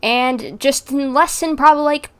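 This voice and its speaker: A woman's voice: sits high, normal speed, a bit bright. A woman livestreaming a game, chatting casually with viewers in a rambling, giggly manner as she plays. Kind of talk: casual livestream chat while playing, replying to viewers.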